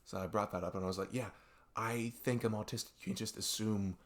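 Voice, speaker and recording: A man playing a young best friend who confides in a halting, rambling, exasperated way in an ASMR audio roleplay. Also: on the low side, steady tone, lightly acted. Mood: confiding. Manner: rambling, halting, exasperated sighs and nervous laughs. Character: young male best friend venting about arguing with parents.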